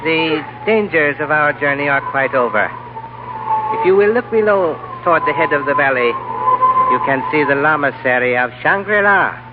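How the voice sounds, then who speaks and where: An actor playing a suave, formal male guide to a mountain lamasery, speaking in a courtly, measured way in a 1930s radio drama. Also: on the high side, animated, heavy background noise, natural voice. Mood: calm courtesy. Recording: tinny old radio broadcast recording.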